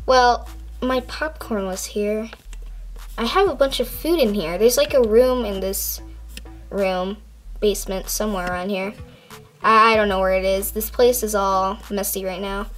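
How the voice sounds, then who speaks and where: A woman's voice: medium pitch, a little slow, faint music behind, pitched below the performer's own voice, lightly acted. Play as a woman doing a bewildered crab principal, rambling and lost.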